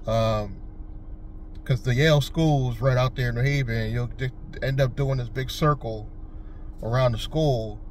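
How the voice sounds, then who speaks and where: A man's voice: mid-range voice, slight background noise. A man from Connecticut who drives for a rideshare service, talking to his viewers in a loose, rambling vlog style with frequent fillers and restarts. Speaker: rideshare driver and channel host. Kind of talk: casual vlog talk.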